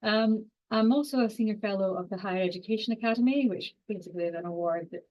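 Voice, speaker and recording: A woman in her forties with a strong Scottish accent, giving an online science lecture quickly, with frequent fillers and restarts. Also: mid-range voice, lo-fi sound. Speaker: university lecturer and exercise scientist.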